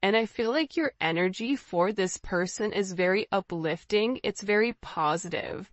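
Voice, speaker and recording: A woman's voice: medium pitch, moderate pace, no room sound, highs cut off. A woman giving an informal spiritual tarot reading, talking directly to the viewer in a conversational way and often checking in with the listener. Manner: conversational, often checking in with "right?" and "you know". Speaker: tarot reader, host.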